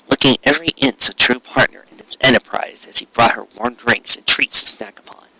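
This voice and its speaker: A man narrating scripted fiction as a storyteller, with an expressive, dramatic and florid delivery. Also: mid-range voice, poor audio.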